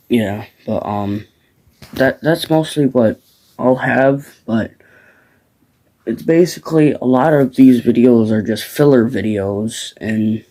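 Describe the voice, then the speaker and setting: A man's voice: medium pitch, warm tone, rough recording. A teenage boy from the Midwest, rambling casually about farms outdoors at dusk, with lots of fillers and restarts. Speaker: farm vlogger.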